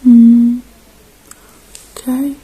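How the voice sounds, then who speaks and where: A woman speaking softly and gently in a halting, reassuring ASMR roleplay, slipping between quiet voice and whisper. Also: a little high, little pitch movement, slight background noise, rough recording. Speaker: ASMR performer playing a robot repair technician.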